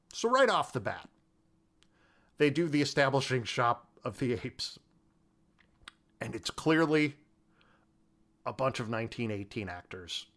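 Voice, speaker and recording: A man co-hosting a podcast, casually discussing film in an animated, joking way with rambling asides. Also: medium pitch. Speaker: podcast co-host.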